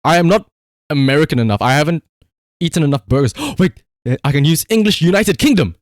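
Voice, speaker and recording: Animated South African man, higher-pitched voice. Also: a little fast, no room sound, studio quality. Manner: animated, excitable, exclamatory. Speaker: livestreamer. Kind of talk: live gaming stream commentary, calling out voice commands.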